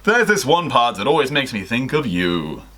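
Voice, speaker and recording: A man voicing an overeager, lovestruck teenage schoolboy from a story, in a gushing, drawn-out, exaggerated way. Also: mid-range voice, very animated, pushed and bright. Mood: eager.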